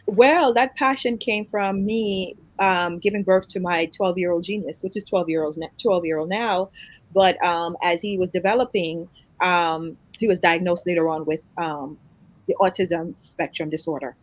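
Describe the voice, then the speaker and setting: A Jamaican woman with a voice sitting a little low talks through an interview in a relaxed, chatty way. She laughs easily and drifts into fillers between her thoughts. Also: moderate pace, low-quality recording. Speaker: guest. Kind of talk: casual interview conversation.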